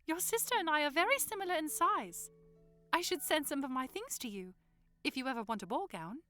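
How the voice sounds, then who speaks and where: A woman acts out a young noblewoman with a high voice. She is spirited and curious, teasing and insistent but well-mannered, in an audiodrama with faint music behind her. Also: animated, fairly quick, sharp tone. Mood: friendly. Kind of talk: audiodrama acting.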